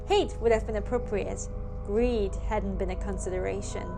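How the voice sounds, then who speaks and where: A woman's voice: mid-range voice, fairly even intonation, normal speed, vocal fry. A woman storyteller reading a scripted tale in a theatrical, suspenseful manner. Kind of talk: dramatic scripted story narration. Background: music.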